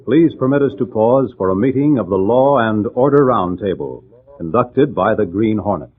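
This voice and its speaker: A man's voice: fairly low pitch, lively intonation, moderate pace. A man narrating a radio drama in formal, dramatic 1940s announcer style. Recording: muffled, noisy 1940s radio broadcast.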